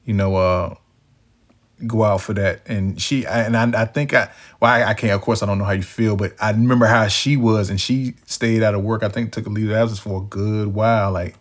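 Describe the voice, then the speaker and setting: A man's voice: on the low side, fairly even intonation, fairly quick. Hesitant male podcast host. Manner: hesitant, rambling with many restarts. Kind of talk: casual conversational reply.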